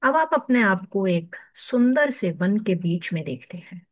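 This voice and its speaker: Female meditation guide, Indian accent, very slow and soothing, dry close sound. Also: mid-range voice, animated, no room sound. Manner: soothing, hushed at phrase ends, with long pauses.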